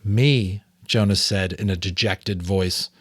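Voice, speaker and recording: A man reading a story aloud dramatically as host, in an expressive, theatrical manner with casual asides. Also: fairly low pitch, moderate pace, no echo, clean studio sound. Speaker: audiobook reader and host.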